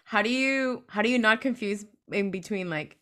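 A young woman hosts a casual podcast interview. She giggles a lot and fills her questions with "like" and "um". Her voice sits at a medium pitch. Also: moderate pace, fairly bright tone. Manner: giggly, lots of "like" and "um". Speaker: podcast host.

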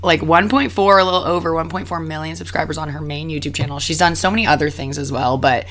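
A woman in her twenties hosts a podcast with a hoarse, worn-out voice. She keeps it bubbly and upbeat and laughs easily. Her pitch sits a little low, and she chats casually from a hotel room. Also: fairly even intonation, fast, a bit bright, slight background noise. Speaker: podcast host. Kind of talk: casual interview chat.

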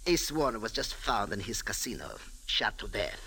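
An actor playing a sour, pompous Egyptian police sergeant with formal speech in a 1940s radio drama. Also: male voice, fairly low pitch, big pitch swings, moderate pace, sharp tone, breathy edge, exaggerated voice. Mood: coldly suspicious.

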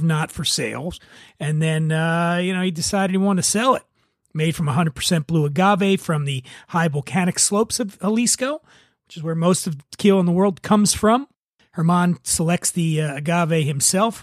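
A man's voice: on the high side, dry recording, clean studio sound. A man hosting a podcast, giving a semi-scripted review in a conversational way with wry, joking asides. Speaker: podcast host reviewing spirits.

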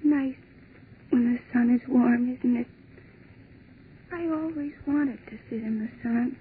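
An actress playing a young woman lost in a calm, dreamy delusion, in 1940s radio acting style. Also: fairly high pitch, slow pace. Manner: dreamy, soft, childlike. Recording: tinny, faintly noisy 1940s radio broadcast.

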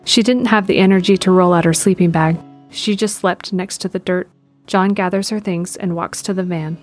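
A woman's voice: on the low side, a little mellow. A woman narrator reading scripted present-tense narration for an audio drama.

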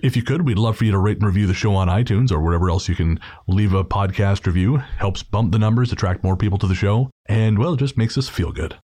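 A man's voice: on the low side, fast talker, few pauses, mellow, husky. A man hosting a podcast, chatting casually and informally through thanks and plugs. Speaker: podcast host.

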